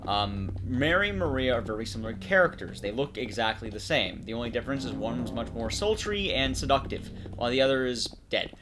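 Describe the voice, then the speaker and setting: A man's voice: mid-range voice, sing-song, brisk, few pauses, over music. A man giving casual, analytical commentary on a video game as its commentator.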